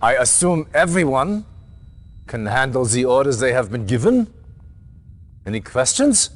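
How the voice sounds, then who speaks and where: An actor playing a stern, resolute military general in a game cutscene, giving orders with formal, clipped authority. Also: male voice, mid-range voice, very animated, a little slow. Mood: rousing, resolute. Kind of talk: dramatic video game cutscene acting. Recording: long hall-like reverb.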